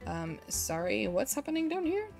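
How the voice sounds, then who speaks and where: A young woman chats casually through a game stream, her voice worn and fading from illness. She speaks a little high over faint background music. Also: sing-song, moderate pace, a little mellow. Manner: chatty, reads the hero's lines playfully. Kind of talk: casual live game stream, reading dialogue aloud.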